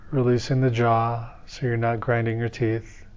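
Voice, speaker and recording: Soft-spoken male meditation guide, flat and even. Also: mid-range voice, clear, resonant tone, lo-fi sound. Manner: slow, soothing, gently instructive. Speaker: meditation teacher.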